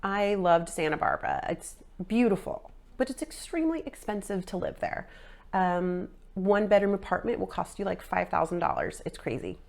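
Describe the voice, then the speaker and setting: A woman's voice: fairly low pitch, sing-song, moderate pace, creaky. A woman in her forties, sounding a little nasal after a sinus infection, casually rambling through a personal fitness update with lots of ums and likes. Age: 40s. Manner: rambling, filler-heavy, frequent ums and likes. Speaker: fitness vlogger.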